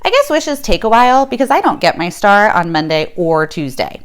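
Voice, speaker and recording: A woman's voice: mid-range voice, very animated, normal speed, slight background noise. A woman hosting a read-aloud for young listeners, speaking expressively and directly to them.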